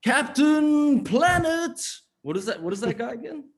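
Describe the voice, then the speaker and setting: A man's voice: very high voice, very animated, measured pace. A man chatting casually on a comedy podcast, puzzled and full of questions. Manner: puzzled, questioning, self-mocking. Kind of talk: casual chat.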